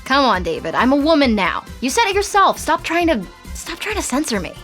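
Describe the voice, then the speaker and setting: High, lively teenage girl. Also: fairly quick, soft background music. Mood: pleading, defiant. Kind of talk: scripted audio fiction acting.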